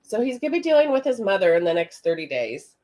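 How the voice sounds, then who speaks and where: A woman with a raspy voice giving a live-stream psychic card reading, chatting directly with viewers in the comments. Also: medium pitch, sing-song, quick pace, a little mellow. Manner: chatty, talks to viewers in the chat. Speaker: psychic reader.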